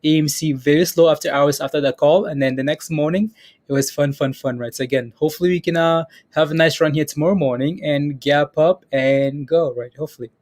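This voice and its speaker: A man's voice: fairly high pitch, fairly even intonation, a little fast, a little mellow. A man with a slightly raised voice hosts a live market show, talking loosely and repeating himself as he answers viewers. He laughs easily and keeps checking in with his audience. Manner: chatty, repeats phrases, reads chat names aloud, laughs often. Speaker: stock trading livestream host. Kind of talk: casual live market commentary.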